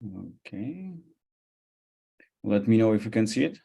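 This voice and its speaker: A man with a Central European accent gives an expert webinar presentation. His voice sits fairly low and has a very mellow, dark tone. He moves through his points haltingly, hesitating often. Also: big pitch swings, creaky, slightly rough. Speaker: cybersecurity expert giving a presentation.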